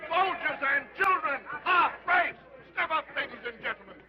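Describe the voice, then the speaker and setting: A man playing a loud carnival barker who calls in passers-by with showy patter. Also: very high voice, moderate pace, crowd noise, rough recording. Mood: hawking.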